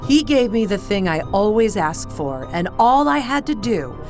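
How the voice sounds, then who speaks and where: A woman playing a sadistic, playful female chauffeur in an audio drama, taunting in a singsong way with drawn-out words. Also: medium pitch, big pitch swings, darkish tone, faint music behind, natural voice. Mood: cool menace. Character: sadistic, playful female supernatural chauffeur who forces cruel choices.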